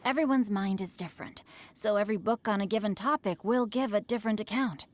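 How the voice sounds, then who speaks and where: A woman playing a polite, bookish teenage boy in a video game, speaking in a formal, courtly, measured way. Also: mid-range voice, lightly acted. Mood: courteous. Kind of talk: video game character dialogue. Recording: faint music behind the voice.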